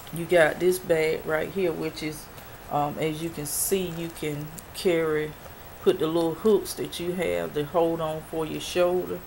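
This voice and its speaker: A woman's voice: low voice, creaky, darkish tone. A woman in her forties showing off mail she received, chatty and rambling with many ums, her voice a little worn. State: losing her voice. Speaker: product review vlogger. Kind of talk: casual show-and-tell haul video. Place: small dim bedroom, indoors. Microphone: built-in webcam mic at arm's length. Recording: noisy webcam recording.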